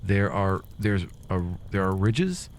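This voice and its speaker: A man with a low voice runs a tabletop roleplaying game, improvising descriptions conversationally. He often halts and rewords as he goes. Faint noise sits behind him. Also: sing-song. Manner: conversational, halting and self-correcting as he describes. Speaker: game master.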